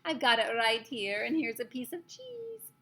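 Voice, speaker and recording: A woman voicing a cruel, bossy Grand High Witch in a storybook read-aloud, imperious and full of scornful insults. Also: a little slow, close to an everyday voice. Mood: gloating.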